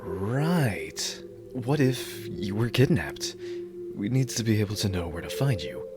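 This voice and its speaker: A man performs a lovestruck male bodyguard in an ASMR roleplay with a deep voice and a slow, halting delivery. He comes across as dorky, earnest and awkwardly devoted. Music plays clearly underneath. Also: big pitch swings.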